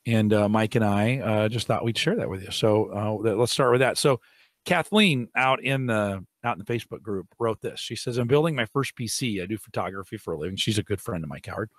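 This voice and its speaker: A middle-aged man hosting a casual tech podcast, hoarse and raspy from allergies, rambling with fillers and restarts, on a boom-arm studio mic in a home studio. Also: a little fast, no room sound. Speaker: podcast host. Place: home studio room with shelves.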